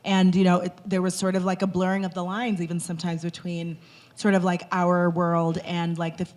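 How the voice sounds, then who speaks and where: A woman on a live panel, speaking in a relaxed, conversational way with frequent "like" and "you know". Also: on the low side, moderate pace. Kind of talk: panel discussion.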